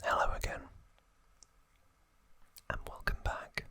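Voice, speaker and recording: A man in his thirties whispering in a breathy voice, telling a story as an ASMR host in short phrases with pauses. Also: bright, forceful tone, dry recording. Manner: slow, deliberate, short phrases with long pauses.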